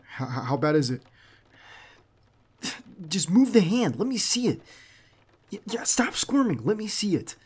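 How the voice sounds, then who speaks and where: A man acting a tense, loyal, protective bodyguard in an ASMR roleplay, speaking in a halting, earnest and reassuring way. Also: sits high, big pitch swings, breathy edge, full character voice. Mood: urgent, alarmed.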